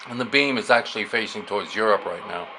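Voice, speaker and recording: A man's voice: lowish voice, fast talker. A man giving a casual, unscripted hands-on radio demonstration, explaining things and thinking aloud as he works. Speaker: amateur radio hobbyist demonstrating a receiver. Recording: clear background noise, radio static. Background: shortwave radio stations and static.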